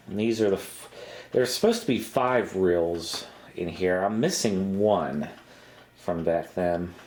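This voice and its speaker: A middle-aged man giving a casual, unscripted show-and-tell in a halting, matter-of-fact way. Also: deep voice, a little mellow. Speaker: vintage electronics hobbyist presenter.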